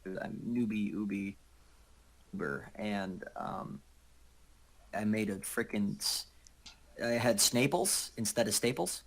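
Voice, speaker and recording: A man's voice: lowish voice, slow, vocal fry, long pauses, a bit bright. A man talking casually as an amateur voice actor among friends. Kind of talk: casual chat.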